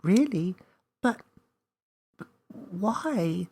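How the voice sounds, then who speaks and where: A man voicing an excitable, curious young girl full of hesitant wonder in a children's story. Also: high voice, drawn-out words, a little hiss or hum, voice pushed up, full character voice. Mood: puzzled.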